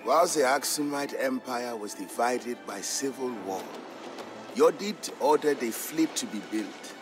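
A man giving a scripted, measured and expressive story narration for a historical game. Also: medium pitch, music in the background.

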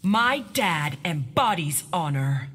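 An actress playing a tough, confident young soldier in a video game, answering with firm, punchy retorts. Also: female voice, low voice, very animated, takes it slowly, noisy background, heavily acted. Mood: earnest, firm. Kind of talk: video game dramatic voice acting.

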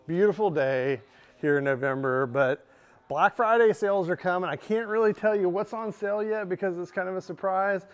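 A man's voice: sits high, normal speed, clean tone. A man in his thirties casually walking through products in a large indoor showroom, chatty with lots of "um" and "right?". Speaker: store product presenter.

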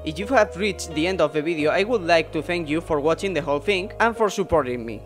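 A young man, his voice sitting slightly high for a man. He talks in a measured, earnest way, pausing now and then on fillers. Soft music plays quietly underneath the voice-over. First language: Spanish. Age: 20s. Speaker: gaming YouTuber. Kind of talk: voice-over video essay and Q&A.

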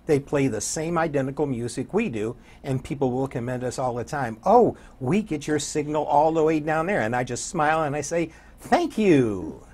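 Husky older American man. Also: fairly high pitch, animated, normal speed, darkish tone. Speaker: radio program director and DJ, interview guest. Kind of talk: conversational studio interview. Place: small indoor TV studio.

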